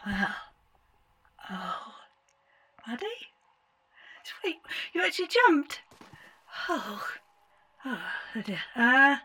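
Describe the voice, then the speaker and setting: A woman's voice: fairly high pitch, sing-song, very slow, long pauses, sharp tone, slightly rough, heavily acted. A woman playing a dithering, breezily callous game master in a comic audio sketch, hesitant and understated. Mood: awkward.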